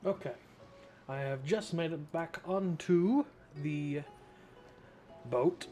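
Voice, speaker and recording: A man's voice: a little high, slow, mellow, faint music behind. Man casually commenting over a video game. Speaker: streamer, gameplay host. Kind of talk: casual let's play commentary.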